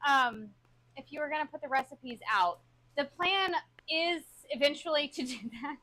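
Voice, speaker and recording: A woman co-hosts a casual live stream, reading viewer comments and teasing her husband. Her voice is very high and bright, with a sharp edge. She talks in quick, playful bursts. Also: poor audio. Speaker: co-host reading chat.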